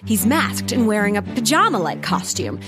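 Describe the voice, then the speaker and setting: A woman's voice: medium pitch, sing-song, normal speed, over music, close to an everyday voice. A woman playing a wry narrator, delivering scripted comic story narration in an audio drama. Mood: wry, dramatic.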